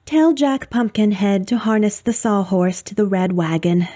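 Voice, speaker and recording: A woman's voice: medium pitch, lively intonation, normal speed, dark tone, close to an everyday voice. A woman voicing a young girl queen of a fairyland in a storybook, cheerful yet commanding. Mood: vexed, commanding.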